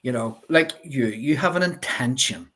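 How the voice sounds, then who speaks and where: A man's voice: medium pitch, lively intonation, normal speed, fairly bright tone. A man with a Belfast accent, a life coach guest explaining his ideas earnestly, with many fillers. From Northern Ireland. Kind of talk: interview chat.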